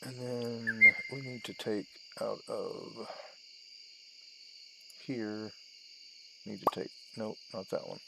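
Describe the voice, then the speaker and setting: Guy doing chill gaming commentary, creaky voice, thinks out loud, really noisy with clicks behind him. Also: lowish voice, fairly even intonation, takes it slowly, pauses often. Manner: narrates own steps aloud in short, trailing phrases. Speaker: gaming video host. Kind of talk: casual let's-play commentary.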